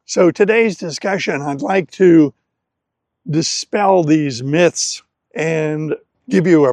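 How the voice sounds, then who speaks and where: A man who is a native English speaker, presenting an educational talk on language learning in deliberate, carefully phrased short segments. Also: fairly high pitch, a little mellow, no echo. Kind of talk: scripted educational talk to camera.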